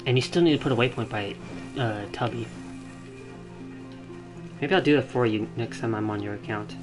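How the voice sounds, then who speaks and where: A man streaming a video game, commenting casually and thinking out loud in a rambling way. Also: mid-range voice, moderate pace. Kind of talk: casual live gameplay commentary. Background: video game music.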